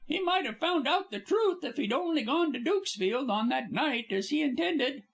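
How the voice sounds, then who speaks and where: A man voicing a cheerful, chatty young actress in a high, babbling voice. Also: expressive, normal speed, vocal fry, exaggerated voice. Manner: bubbly, babbling, high-pitched.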